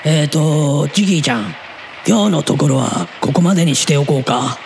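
A man playing an easygoing, chatty middle-aged uncle who is soft on women, in a Japanese audio drama. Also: a little high, fairly quick, creaky, faint music behind. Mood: encouraging, easygoing. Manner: casual, blunt, chatty.

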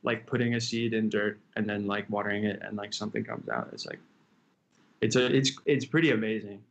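A man's voice: lowish voice, expressive, fairly quick, gravelly, a bit bright. A young man, a chef, answering interview questions casually over a video call from a room at home, rambling with many fillers and restarts. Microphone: laptop webcam on video call.

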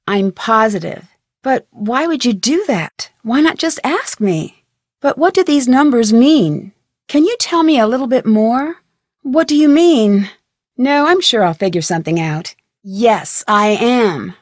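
A woman's voice: fairly high pitch, no echo, studio quality. A woman playing an inquisitive, friendly young female detective in a video game, speaking in an earnest, conversational way. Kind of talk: scripted video game dialogue.

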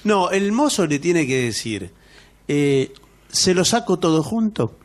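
A man's voice: a little high, big pitch swings, a little slow. A man with a Rioplatense Argentine accent, co-hosting comic radio banter in a mock-formal, protesting manner. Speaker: radio comedy show co-host.

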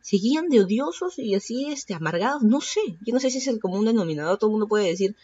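A woman's voice: mid-range voice, expressive, fast, dark tone, slightly rough, muffled recording. A Peruvian woman in her thirties with a Peruvian accent, hosting a casual solo podcast and rambling with many fillers and self-corrections. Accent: Peruvian Spanish. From Peru. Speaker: podcast host.

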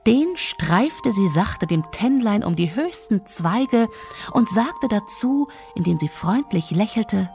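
A woman narrating a fairy tale in a measured, expressive way, lingering on descriptive words. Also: medium pitch, faint music behind. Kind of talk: scripted storytelling narration.

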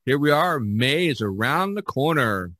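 A man hosting a podcast in casual, halting talk, spelling things out as he goes. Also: medium pitch, very animated, fairly bright tone, dry recording. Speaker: podcast host. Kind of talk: casual chat and sign-off.

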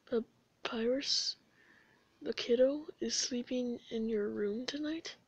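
A woman voicing a gentle, weary male skeleton in an amateur comic dub, low-key and hesitant in casual slang. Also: takes it slowly, slightly rough, full character voice. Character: gentle, weary male skeleton older brother, laid-back. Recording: dull, echoey room recording.

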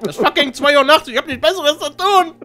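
An excitable gamer chatting casually while playing, given to shouting and squealing as co-host of a gaming video. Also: sits high, quick pace, soft background music.

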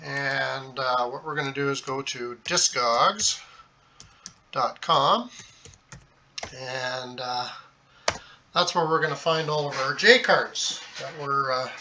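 A middle-aged man giving a casual, unscripted how-to explanation, halting and thinking aloud as he goes. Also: mid-range voice, sharp tone, slight background noise. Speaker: hobbyist host of a DIY tutorial. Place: small indoor home workshop at a desk, later at a computer.

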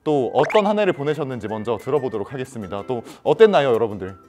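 A man in his twenties hosting a playful student chat with a lively, teasing manner. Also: medium pitch, fast talker. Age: 20s. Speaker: host of a student roundtable. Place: large indoor lounge with bean bags. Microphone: clip-on lapel mic. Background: light background music.

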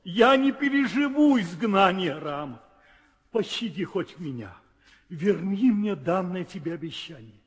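An actor playing an anguished old king and father in a theatrical old audio drama, his voice husky and slow. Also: sits high, animated, exaggerated voice. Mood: desperate pleading. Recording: old radio play, hall reverb.

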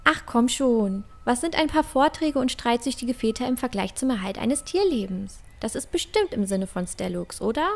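A woman's voice: fairly high pitch, expressive, normal speed, vocal fry, few pauses, a little mellow. A woman playing a cheeky, adventurous, optimistic girl in a fantasy audio drama. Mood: cheeky, teasing.

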